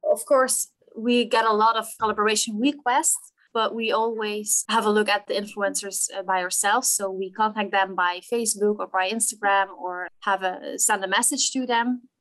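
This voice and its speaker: A Dutch-accented woman in her twenties speaks as a podcast guest. She talks earnestly, running through lists with small fillers, in a mid-pitched voice. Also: steady tone, moderate pace, a bit bright, poor audio. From Netherlands. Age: 20s. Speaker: marketing team lead guest. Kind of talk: casual interview chat.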